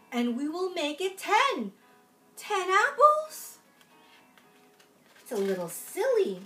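A young woman giving an expressive, exaggerated picture-book read-aloud for small children. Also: high voice. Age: young adult. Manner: expressive, exaggerated storyteller delivery. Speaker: children's library storytime reader. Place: room at home, in front of a folding screen.

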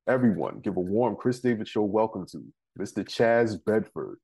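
Husky American man hosting a talk show. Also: on the low side, lively intonation, normal speed, dark tone, rough recording. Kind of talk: show hosting, scripted intro and casual interview. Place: living room couch at home, indoors.

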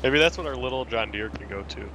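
A man's voice: medium pitch, quick pace, background noise. A man playing along on a gaming stream, easygoing and matter-of-fact in casual chat. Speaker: co-player on stream.

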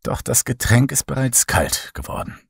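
Male horror narrator, breathy, very expressive pitch, dry close mic. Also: normal speed, no room sound. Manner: dramatic first-person storytelling, lively voiced dialogue. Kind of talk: horror audiobook reading.

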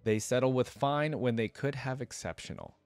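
A young man reading as a calm, eccentric inventor-scientist in a storybook, with formal, measured, precise phrasing. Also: mid-range voice, smooth, no echo, natural voice. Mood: earnest, philosophical.

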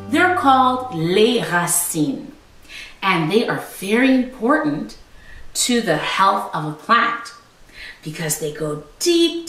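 Woman teacher doing storytime for little kids, really swoopy slow delivery, echoey room. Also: medium pitch, far from the mic. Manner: expressive, exaggerated emphasis, teacherly. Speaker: children's teacher reading a storybook aloud. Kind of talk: storytime read-aloud with explanations for young children. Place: small living room at home, indoors. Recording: roomy echo, away from the mic.

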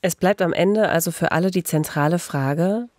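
A woman's voice: lowish voice, moderate pace, darkish tone, no room sound, studio quality. A woman hosting a true-crime podcast, talking in an empathetic and personal conversational way. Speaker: podcast host.

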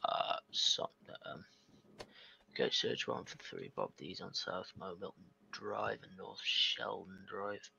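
A man improvises as a lawyer in an online roleplay game. His mid-pitched voice is forward and bright with plenty of vocal fry. The microphone sounds a little dull and lo-fi, with faint noise and clicks. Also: big pitch swings, takes it slowly. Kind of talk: improvised in-character game dialogue.

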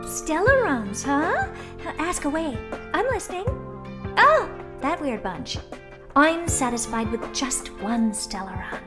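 A self-absorbed young genius researcher in a video game. Her voice is very high and swings animatedly as she talks down to everyone with flippant bluntness. Music plays behind her. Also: takes it slowly, heavily acted. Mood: aloof, matter-of-fact. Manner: flippant, condescending, theatrically expressive. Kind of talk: video game character dialogue.

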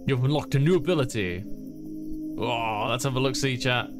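A man's voice: mid-range voice, normal speed. A man streaming a game, commenting playfully and reading on-screen text aloud in a jokey way. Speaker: streamer, let's player. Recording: game music behind the voice.

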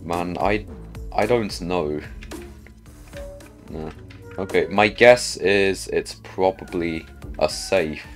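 A man's voice: sits low, slow, pauses often, faint music behind. A man giving casual gameplay commentary as a video host, talking to himself and asking himself questions as he plays.

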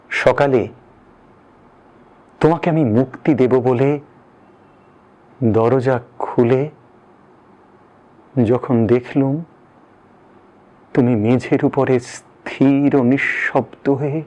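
A man giving a dramatic poetry recitation in a solemn, deliberate manner with weighty pauses. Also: mid-range voice, steady tone, darkish tone.

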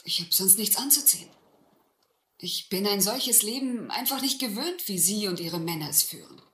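A woman's voice: medium pitch, expressive, normal speed, pushed and bright. An actress playing a refined young lady passenger in an audio sea drama, anxious and indignant. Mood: distressed. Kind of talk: German audio adventure drama acting. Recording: roomy old radio-play recording, faint noise.

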